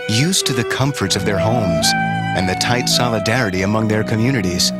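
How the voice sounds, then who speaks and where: A man reading a documentary narration in a measured, solemn way. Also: fairly low pitch. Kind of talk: scripted narration. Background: music.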